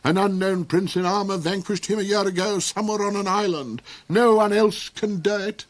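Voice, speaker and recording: A man's voice: high voice, fairly even intonation, moderate pace, pitched above the performer's own voice, natural voice. A man voicing a cold, aggressive villain of a duke in a storybook reading, declaiming melodramatically with snarls and squeals. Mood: frantic boasting.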